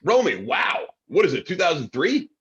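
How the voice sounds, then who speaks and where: Man playing a husky, corny open mic host. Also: high-pitched, wildly swooping pitch, normal speed, fairly bright tone, exaggerated voice. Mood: surprised, awkward. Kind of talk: amateur table read acting. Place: remote video call table read. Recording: dull lo-fi call audio.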